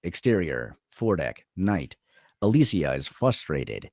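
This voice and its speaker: A man with a very low voice narrates a screenplay. His delivery rises and falls animatedly, and the sound is dry and close. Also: sing-song, unhurried, darkish tone, no room sound. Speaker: narrator of stage directions. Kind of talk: scripted narration at a screenplay table read.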